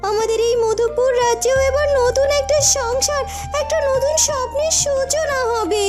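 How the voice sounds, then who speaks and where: Woman as sweet hopeful cartoon maiden, very high voice, music behind. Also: normal speed. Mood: tender, hopeful. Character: devoted young woman, tender and hopeful.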